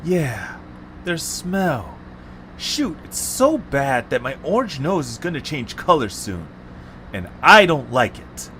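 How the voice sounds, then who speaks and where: A man voicing an easygoing, sensible young creature boy with animated, casual delivery in an amateur cartoon audio drama. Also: on the high side, slow pace, slight background noise, heavily acted. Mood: skeptical.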